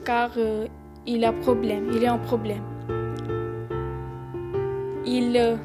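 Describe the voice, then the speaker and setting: A young female student narrates a prepared story as a non-native speaker. Her voice is slightly high with flat intonation, over clear piano music. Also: slow pace. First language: not French. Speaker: newly arrived student. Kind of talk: scripted personal narration.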